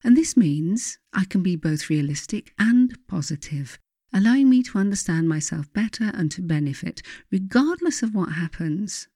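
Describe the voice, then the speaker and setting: A woman reading her own self-help writing as a meditative guide, in a measured, softly instructive way with deliberate pauses. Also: medium pitch, lively intonation, no room sound, clean studio sound.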